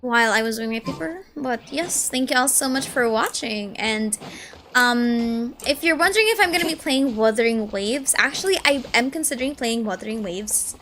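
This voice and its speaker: A woman in her early twenties chatting casually on a gaming stream, excitable and gushing, rambling as she goes. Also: on the high side, moderate pace, a little hiss or hum. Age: early 20s. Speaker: streamer and video essay creator. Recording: music behind the voice. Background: game music.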